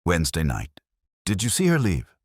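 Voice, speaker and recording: Casting: man playing wisecracking male gumshoe for audiobook, pressing questions, deep and lively. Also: moderate pace, no room sound, studio quality, heavily acted. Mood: probing. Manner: sardonic, pressing. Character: wry, probing male private investigator.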